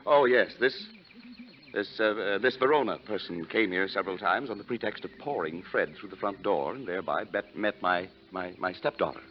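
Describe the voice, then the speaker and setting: Wealthy stepfather male actor: florid, fussy, self-important, low register, 1940s radio, noisy vintage recording. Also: expressive, moderate pace, dull audio, close to an everyday voice. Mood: fussy, indignant. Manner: pompous, florid, flustered hesitations.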